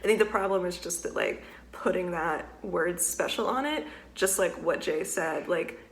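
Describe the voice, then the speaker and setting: A woman in her twenties hosting a reaction video, chatty, laughing often and throwing in quick comments. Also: on the low side, low-quality recording.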